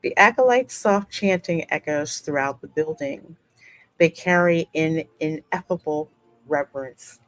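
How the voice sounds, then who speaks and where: Slightly low-voiced woman hosting a game playthrough. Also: measured pace, soft background music, poor audio. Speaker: gaming channel host. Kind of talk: let's play commentary, reading game text aloud with asides.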